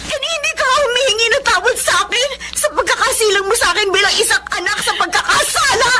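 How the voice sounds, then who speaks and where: A woman's voice: very high-pitched, fast talker, noisy background. A woman playing a scheming, vengeful young mistress in a radio drama, mocking and threatening. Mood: shouting.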